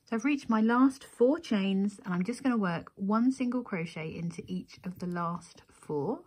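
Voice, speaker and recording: Husky-edged woman giving craft instructions. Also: medium pitch, normal speed, darkish tone. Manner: methodical, counting steps aloud. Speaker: crochet tutorial instructor. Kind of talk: step-by-step craft tutorial narration.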